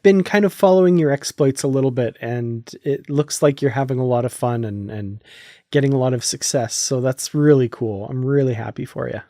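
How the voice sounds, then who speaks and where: A man's voice: mid-range voice, brisk, warm tone, no room sound, studio quality. A man hosting a podcast in a casual, conversational style. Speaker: podcast host.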